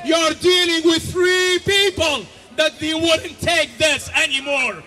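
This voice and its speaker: A man from Egypt, shouting a fiery speech and leading chants at a street protest. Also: very high voice, steady tone, slow, over a noisy crowd, very noisy. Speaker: protest speaker.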